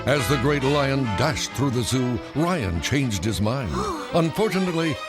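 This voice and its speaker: A man's voice: medium pitch, animated, a little slow. Male storybook narrator over music. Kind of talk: scripted children's read-along story narration.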